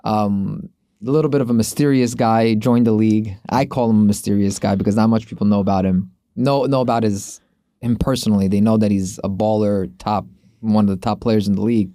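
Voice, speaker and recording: A male podcast host with a fairly low, mellow voice. He chats informally and rambles, circling back over his phrases, and the sound is dry and close. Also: steady tone, fairly quick, dry recording. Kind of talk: casual sports podcast chat.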